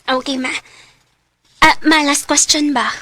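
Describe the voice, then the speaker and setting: Casting: earnest young student daughter, radio drama, high bright voice, emotive delivery. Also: female voice, fairly even intonation, measured pace, no room sound. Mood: curious, respectful. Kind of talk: Cebuano radio drama acting.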